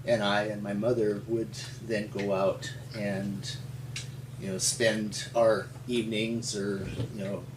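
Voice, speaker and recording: An older man from rural Montana with an American accent, telling his story in an interview in a halting, folksy, explanatory way. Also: lowish voice, flat delivery. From rural Montana, United States. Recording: roomy, distant mic with faint hum.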